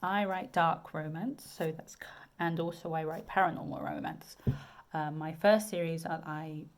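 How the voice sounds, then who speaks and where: Low-voiced southern English woman, chatty. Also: steady tone, moderate pace, a little hiss or hum. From England. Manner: chatty, many ums and restarts. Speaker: romance author. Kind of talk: casual video chat about her books.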